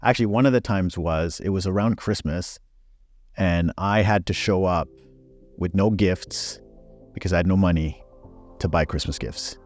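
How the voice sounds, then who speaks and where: A man's voice: deep voice, moderate pace, dry recording, faint music behind. A man in his forties, born in Belgium, speaking frankly as a podcast guest with many fillers. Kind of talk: casual interview chat.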